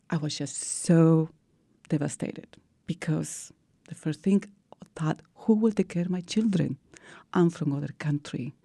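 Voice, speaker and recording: Peruvian-accented woman, low gravelly voice. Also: dark tone, dry recording. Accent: Peruvian Spanish. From Peru. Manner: earnest storytelling with non-native English phrasing. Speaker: guest. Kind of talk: radio interview.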